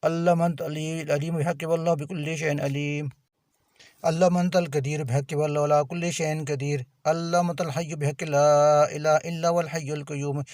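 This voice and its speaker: A man chants a devotional supplication in a rapid, rolling rhythm, repeating each divine name in quick succession. His voice sits a little high for a man. The recording is dry and close, with no room sound around it. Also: level tone, a little mellow, dry recording.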